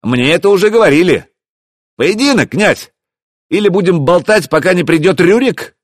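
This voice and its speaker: A man voicing a defiant, mocking warrior chieftain who calls out loudly across a distance in an audiobook. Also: mid-range voice, animated, takes it slowly, no room sound, studio quality. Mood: loud challenge. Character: defiant, taunting young warrior chieftain shouting challenges.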